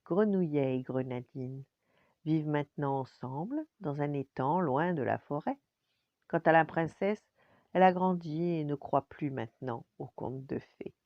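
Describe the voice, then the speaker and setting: A woman's voice: low voice, expressive, a little slow, no room sound, dull audio. An older woman, a grandmother, expressively telling a bedtime story to children.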